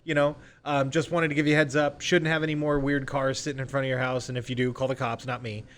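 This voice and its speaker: A man's voice: mid-range voice, level tone, fast talker, a bit bright. Man chatting informally, noisy background. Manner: informal, role-plays example phone calls. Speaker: real estate agent, podcast guest. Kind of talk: conversational podcast interview, explaining sales scripts.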